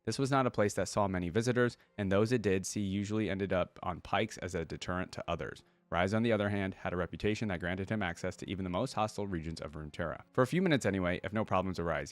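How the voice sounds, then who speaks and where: A man's voice: low voice, no room sound. A man reading a fantasy story aloud expressively and adding casual remarks as a reaction host.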